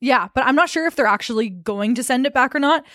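Teasing young woman, slightly high voice. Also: lively intonation, fairly bright tone, no room sound, studio quality. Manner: teasing, quick and chatty. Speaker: podcast co-host. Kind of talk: casual chat.

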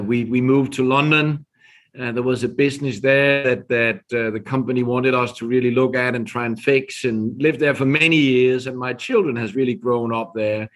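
A middle-aged man with a Danish accent talks through a podcast interview in an ordinary-pitched voice. He speaks in a measured way, often restarting and repeating words as he goes. He sounds like a business guest telling his story. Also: fairly bright tone. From Denmark. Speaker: guest, company executive.

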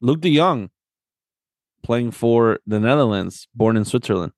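A man's voice: medium pitch, expressive, a little slow, a little mellow, no echo, clean studio sound. A man in a casual sports discussion, co-hosting as a coach and often checking in for agreement. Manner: conversational, often seeks agreement with tag questions. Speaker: podcast host and soccer coach.

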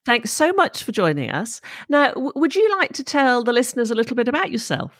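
A woman's voice: a little high, very animated, brisk, no room sound. A woman hosting a podcast interview, asking encouraging questions. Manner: friendly, encouraging prompts. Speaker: podcast host.

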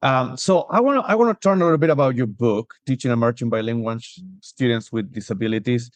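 Man from Argentina, interview host, mid pitch, restarts often, dry sound. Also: quick pace, no room sound. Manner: searching for words, restarts, rising "right?" tags. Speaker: podcast host. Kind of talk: interview questions.

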